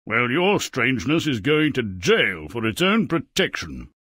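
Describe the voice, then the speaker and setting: A sly, haughty swindler for a cartoon game. The words are drawn out slowly with a sneer and big swoops of pitch. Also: big pitch swings, a bit bright, no echo, clean studio sound. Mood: scornful. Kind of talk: exaggerated game voice acting.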